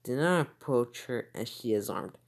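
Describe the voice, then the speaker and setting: An emergency alert announcer reading a scripted warning in a formal, flat manner. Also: mid-range voice, moderate pace.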